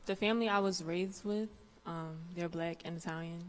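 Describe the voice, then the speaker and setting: Young woman, flat low delivery, hesitant, hall reverb. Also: moderate pace. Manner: hesitant, starts with "um". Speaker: interviewee.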